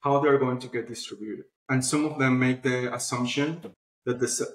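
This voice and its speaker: A man's voice: mid-range voice, fairly even intonation, normal speed. Man explaining in a roomy echo. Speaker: interview guest, publishing platform founder. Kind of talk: conversational interview answers.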